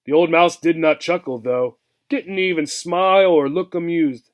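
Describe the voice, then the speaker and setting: Guy reading a kids chapter book out loud, expressive, voice a bit on the high side. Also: normal speed. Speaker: reader of a children's book. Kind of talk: expressive storybook narration.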